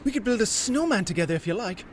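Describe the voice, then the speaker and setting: A man's voice: high-pitched, animated, quick pace, slight background noise. A man playing an earnest, dreamy young boy in an audio drama.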